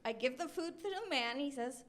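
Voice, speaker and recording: A woman's voice: high voice, animated, a little fast, crowd noise. A young American woman in her twenties performing a live stand-up comedy routine in a chatty, self-deprecating storytelling manner. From Los Angeles, United States. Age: 20s. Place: comedy club stage with live audience. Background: audience laughter.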